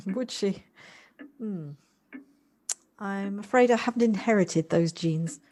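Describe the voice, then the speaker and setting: A woman's voice: mid-range voice, slow pace, warm tone, a little hiss or hum, natural voice. An actress playing a busy city career woman in an audio drama, polite, chatty and slightly flustered. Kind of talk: amateur audio drama acting.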